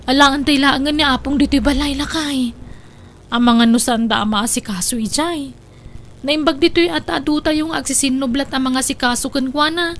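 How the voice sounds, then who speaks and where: A woman with an Ilocano accent acting in a comedy drama, talking in a sharp-tongued, defensive way. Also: high voice, moderate pace. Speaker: drama voice actor, daughter-in-law caring for an old mother.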